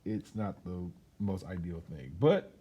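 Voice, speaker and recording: A man reading a children's storybook aloud as a storyteller, in an expressive, chatty way with casual asides. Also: deep voice, a little slow, slightly rough, dull audio.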